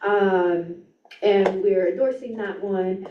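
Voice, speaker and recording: A woman's voice: medium pitch, steady tone, takes it slowly, noisy background. Young woman giving a casual report, echoey. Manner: casual, reads notes with ums and slips. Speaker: student government officer giving legislative report. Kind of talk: meeting report. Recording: echoey room, distant mic, dull sound.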